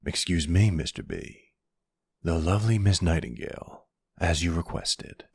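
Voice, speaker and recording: A man's voice: sits low, animated, a little slow, vocal fry, darkish tone, slightly rough, full character voice. A man voicing a formal, obliging manservant of a vampire household in an audio roleplay, proper and deferential. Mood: polite, eager.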